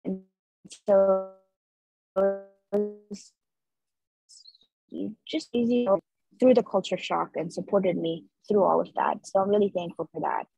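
Guest: young Nepal-born woman, dark husky tone, earnest and chatty on a dull video call. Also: medium pitch, fairly even intonation, slow pace, long pauses, no echo. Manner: earnest, many filler likes. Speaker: interview guest, street artist. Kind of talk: casual reflective conversation. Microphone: video call laptop mic.

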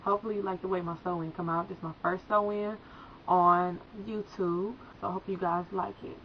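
A woman's voice: lowish voice, fairly even intonation, brisk, slight background noise. A young woman with a slight cold chatting casually to viewers through a hair tutorial in a small room. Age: young adult. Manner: chatty, addresses viewers as guys. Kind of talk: casual how-to talk. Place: small indoor room by a folding screen. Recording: dull lo-fi sound with music behind.

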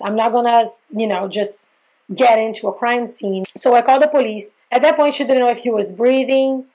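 A Brazilian woman whose first language is Portuguese, talking straight into a webcam at home. Her voice sits fairly high, and she tells her story with lively, expressive animation. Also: poor audio. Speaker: YouTuber. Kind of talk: story time vlog. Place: indoors, small home room. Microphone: webcam framing, seated close to camera.

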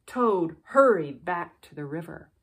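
A woman reading a children's bedtime story in a deliberate, expressive way with dramatic pauses. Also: fairly low pitch, slightly rough. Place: living room with brick fireplace, indoors.

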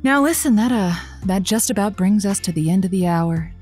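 A woman's voice: medium pitch, expressive, brisk, darkish tone, faint music behind, lightly acted. A woman playing a wry, affectionate radio host who uses pet names, in an audio drama. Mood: affectionate sign-off. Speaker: radio advice-show host. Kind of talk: semi-scripted audio drama.

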